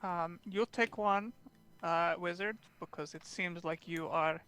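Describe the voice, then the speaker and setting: Woman voicing a bright-toned foreign fighter, broken English, exotic accent, sincere. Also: fairly low pitch, a little slow, clean tone. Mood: earnest. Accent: made-up fantasy accent. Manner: drops articles, clipped broken English. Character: earnest foreign warrior woman, broken grammar. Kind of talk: tabletop roleplay in character.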